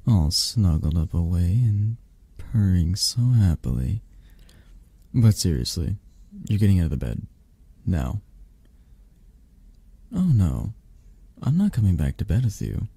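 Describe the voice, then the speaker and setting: Very deep, mellow, tender dragon boyfriend played by a man. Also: very animated, creaky, slightly rough, no room sound, heavily acted. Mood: teasing, affectionate. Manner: soft, teasing, reassuring, hesitant pauses. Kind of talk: intimate ASMR roleplay. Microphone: close, dry microphone.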